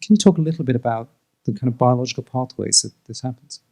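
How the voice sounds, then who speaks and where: A man's voice: mid-range voice, quick pace, vocal fry, darkish tone. A man moderating a panel, briefly putting questions to the speakers.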